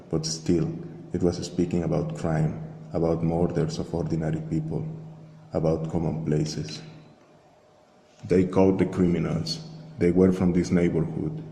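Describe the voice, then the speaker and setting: Low gravelly guy reading a reflective story, flat and measured, like a spoken word art piece. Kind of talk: slow scripted first-person narration, spoken-word art piece. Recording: echoey long reverb, faint hiss.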